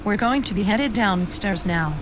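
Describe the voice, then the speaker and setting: Over faint background noise, a concerned news anchor played by a woman presents live breaking coverage. Her pitch is middling and her delivery is earnest. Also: expressive, moderate pace, close to an everyday voice. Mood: alarmed, urgent. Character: concerned female TV news anchor during disaster.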